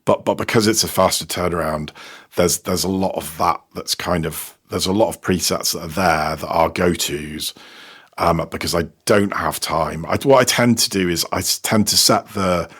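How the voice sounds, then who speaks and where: A man's voice: low-pitched, measured pace, a bit bright, husky. A man being interviewed as a sound designer, talking casually about his work and often repeating words as he searches for phrasing. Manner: hesitant, repeats words while explaining. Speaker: guest, sound designer and technical producer. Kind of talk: casual interview talk about audio production.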